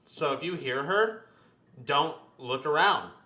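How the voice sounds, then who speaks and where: Guy hosting a casual gaming chat, cusses a lot, bright voice in an echoey room. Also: a little high, expressive, slow pace. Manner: profane, emphatic. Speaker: host. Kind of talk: casual chat ranking video game monsters. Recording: roomy echo.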